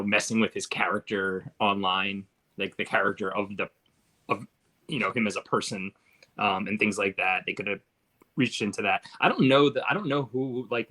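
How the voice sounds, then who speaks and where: A man's voice: fairly low pitch, sharp tone. A man talking casually and colloquially as one of a group of film review panelists.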